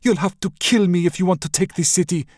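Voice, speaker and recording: A man voicing a defiant young princess, high, strained and urgent. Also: quick pace, a little mellow, dry recording, clean studio sound, close to an everyday voice.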